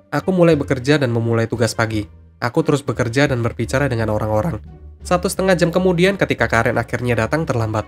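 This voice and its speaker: A man reading out scripted stories as a narrator, with music behind him. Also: mid-range voice.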